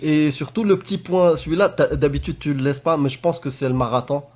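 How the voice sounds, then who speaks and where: A man's voice: fairly high pitch, a little fast, darkish tone. A man on a live online voice call giving feedback on a debate, in casual chat full of fillers, restarts and self-corrections. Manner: hesitant, many fillers and restarts. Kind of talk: casual live-stream discussion.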